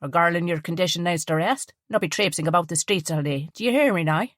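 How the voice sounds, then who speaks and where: A woman voicing a warm, chatty older village shopkeeper woman with a Northern Irish accent and homely, folksy phrasing. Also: lowish voice, fast talker, dry recording, studio quality, close to an everyday voice. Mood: fussing, homely.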